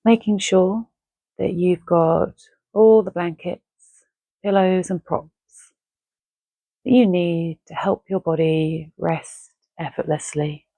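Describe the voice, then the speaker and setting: A woman with a lowish, soft-toned voice leads a guided relaxation. She is gentle and unhurried, pausing between short phrases. The sound is dry and close, with no room around it. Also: fairly even intonation, no room sound. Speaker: meditation guide. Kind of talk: guided Yoga Nidra relaxation, soothing and measured.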